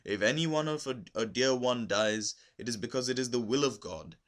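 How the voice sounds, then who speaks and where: A man voicing a grave, compassionate bearded timber merchant in a solemn, measured way for a story reading. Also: mid-range voice, natural voice.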